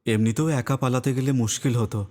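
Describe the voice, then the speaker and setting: A man's voice: medium pitch, fairly even intonation, fast, darkish tone, close to an everyday voice. A man voicing a brave, kind young prince in a cartoon fairy tale, earnest and reassuring. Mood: amused, reassuring. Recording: long hall reverb, music underneath.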